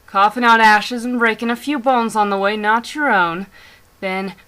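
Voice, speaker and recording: A woman's voice: fairly high pitch, fairly even intonation, normal speed, a bit bright, clean tone, close to an everyday voice. A woman playing a feisty grave-robbing scavenger in a scornful, breathless theatrical rant. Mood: indignant ranting.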